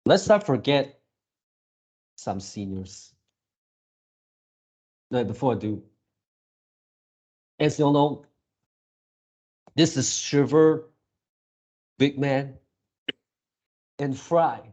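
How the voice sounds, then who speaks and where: A man's voice: medium pitch, extremely slow, long pauses, darkish tone. A man giving casual reaction commentary as a video creator, rambling like an enthusiastic fan.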